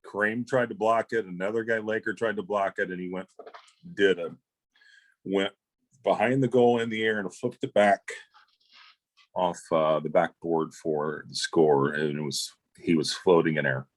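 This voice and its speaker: A man's voice: deep voice, steady tone, normal speed, no room sound. A man with an American accent co-hosting a casual chat, talking like an enthusiastic fan and emphatically repeating phrases. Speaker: show co-host.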